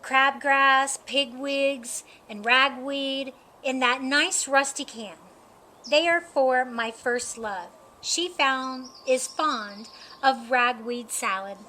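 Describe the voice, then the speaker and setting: A woman's voice: high-pitched, slow, sharp tone, heavily acted. A woman voicing a devoted, cheerful male goat in love from a children's storybook, speaking emphatically. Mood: eager.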